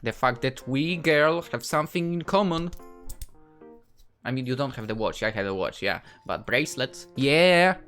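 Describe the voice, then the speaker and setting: A man's voice: mid-range voice, animated, normal speed, fairly bright tone, soft background music. A young man from Poland with a Polish accent, playfully chatting and reading game dialogue aloud in a casual let's play. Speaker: gaming YouTuber.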